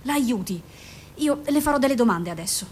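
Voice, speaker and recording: An actress playing a careful, patient doctor in a radio drama, measured and reassuring, sometimes hesitant and apologetic. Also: female voice, expressive, darkish tone, close to an everyday voice. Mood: calm, reassuring. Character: careful, patient female doctor questioning a mother. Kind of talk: naturalistic radio drama acting. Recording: noisy recording with low rumble.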